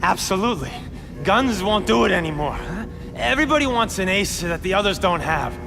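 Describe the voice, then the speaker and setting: An actor playing a nervous, stammering gang boss in a video game, boastful one moment and panicky the next. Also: male voice, high-pitched, lively intonation, fairly quick, creaky. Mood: anxious. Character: jittery male gang boss with a power suit. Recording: game audio with reverb and music.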